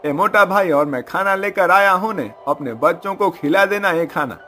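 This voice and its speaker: Guy putting on a hearty cartoon village fella, higher voice, reassuring. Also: expressive, moderate pace, pushed and bright, a little hiss or hum. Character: rustic helpful male villager, hearty and reassuring.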